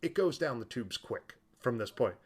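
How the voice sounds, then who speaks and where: A man with a mid-pitched voice talks casually as a podcast co-host. His delivery is animated and joking, wandering into asides as he discusses film. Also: moderate pace, husky.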